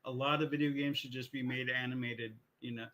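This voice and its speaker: A man's voice: medium pitch, fairly even intonation, brisk, sharp tone, muffled recording. A man co-hosting a podcast in a casual, conversational style. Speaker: podcast co-host. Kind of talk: casual chat.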